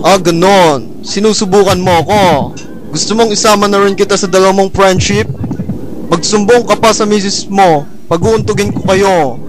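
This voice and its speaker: A man's voice: high voice, normal speed, close to an everyday voice. A man playing a gloating, petty villain creature who taunts in slangy, theatrical fashion in a comedic radio drama. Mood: taunting. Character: Bubbles, gloating trash-talking villain creature, mocking and petty. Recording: noisy homemade radio drama recording.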